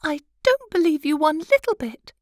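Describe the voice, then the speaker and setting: Woman as a very high, fluttery butterfly wife. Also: big pitch swings, moderate pace, dry recording, studio quality. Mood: daring, scornful. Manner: fluttery, emphatic. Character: small, excitable, nagging female butterfly wife.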